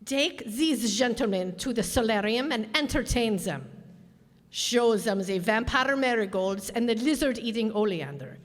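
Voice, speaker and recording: Woman as menacing onion despot, highish voice, theatrical, condescending, formal radio-play villain, reverberant hall. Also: animated, a little slow. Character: smooth scheming onion leader, menacing and falsely courteous. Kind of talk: stage radio drama acting. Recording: reverberant hall, stage performance.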